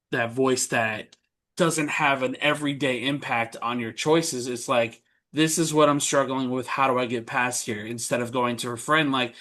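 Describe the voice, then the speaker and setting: Middle-pitched man hosting a casual chat. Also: pushed and bright. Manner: rambling, restarts questions. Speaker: podcast host.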